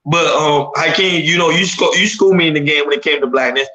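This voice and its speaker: A man's voice: on the high side, fast, bright, forceful tone, a little hiss or hum. Emphatic man talking in an echoey room. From Haitian descent. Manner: emphatic, repeats himself while building a point. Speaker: podcast panel host. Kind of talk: casual panel discussion.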